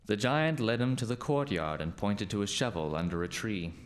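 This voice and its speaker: A man's voice: on the low side, animated, moderate pace, slight background noise. A man narrating a scripted folk tale as the storyteller in a radio play.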